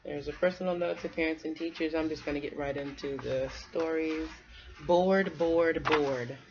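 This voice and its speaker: A woman with a low-pitched voice speaks personally to young listeners and reads a storybook aloud. It is an amateur recording with slightly muffled sound. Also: fairly even intonation, moderate pace, noisy background. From United States. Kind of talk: personal message and children's read-aloud.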